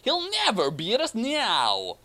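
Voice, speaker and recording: A man's voice: high-pitched, huge pitch swings, takes it slowly, pushed and bright, voice pushed up, exaggerated voice. A man voicing a gleeful, boastful gun-toting villain in a comic story reading. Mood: gloating, boastful.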